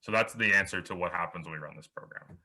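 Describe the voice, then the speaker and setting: Young guy teaching a coding workshop, low pitched but bright sounding, patient n a bit halting. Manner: patient, explains step by step with small restarts. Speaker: workshop instructor. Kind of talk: recorded programming tutorial over slides.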